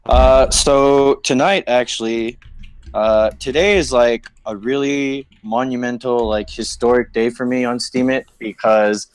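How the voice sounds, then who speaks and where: A man's voice: medium pitch, steady tone, unhurried, clean tone, no room sound, poor audio. Young man from Saipan, dry sound. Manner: easygoing, lots of "like" fillers. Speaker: caller. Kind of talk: casual call-in chat.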